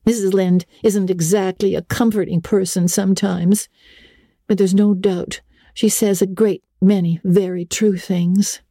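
A woman's voice: medium pitch, steady tone, a little slow, dark tone, no room sound, clean studio sound. A woman playing an earnest, imaginative, chatty schoolgirl in her early teens, full of opinions and dramatic flourishes, in a storybook reading. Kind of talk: dramatic audiobook reading of classic novel.

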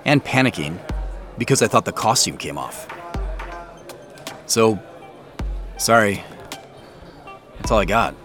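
A man's voice: lowish voice, lively intonation, slow pace, long pauses, a bit bright, soft background music. An actor playing a casual, self-deprecating man under questioning in an audio drama, speaking informally and a little guardedly. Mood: guarded, apologetic. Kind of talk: naturalistic audio drama dialogue.

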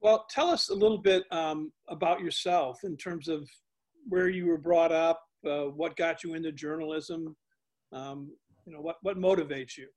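Male host, fairly high voice, asks his questions in a halting way. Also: steady tone, a little mellow, rough recording. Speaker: interviewer host. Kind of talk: interview questions.

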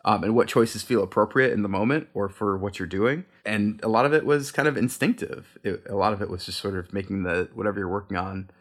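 Low-voiced man chatting in an interview. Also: fairly quick, hardly any pauses. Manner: chatty, rambling, self-correcting. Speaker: podcast co-creator, interview guest. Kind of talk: casual interview answers.

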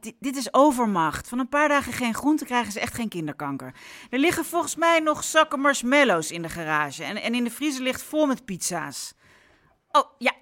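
A woman's voice: sits high, pushed and bright, full character voice. A woman acting in a Dutch radio play as an exasperated, ironic mother of young boys, emphatic and talking in short bursts. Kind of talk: naturalistic radio drama acting.

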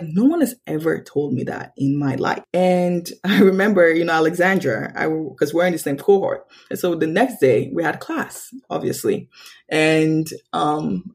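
A young woman from Nigeria, a podcast guest telling stories in casual conversation and acting out quoted dialogue with big jumps in pitch. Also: creaky, a little mellow, slightly rough. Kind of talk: casual interview storytelling.

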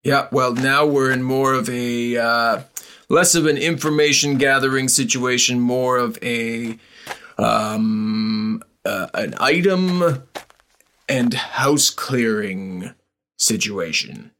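A man's voice: medium pitch, very slow, pauses often, fairly bright tone, slight background noise. A man playing an impatient, evasive cult henchman in a tabletop game, talking in casual, slangy, exasperated bursts. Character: gruff, exasperated cult raider, reassuring and evasive.

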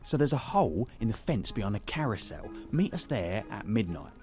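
An actor playing a teasing but considerate young theme-park worker with a British accent, informal and conversational in an audio drama. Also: male voice, on the low side, big pitch swings, brisk, a little mellow, soft background music. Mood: conspiratorial. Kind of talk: naturalistic audio drama acting.

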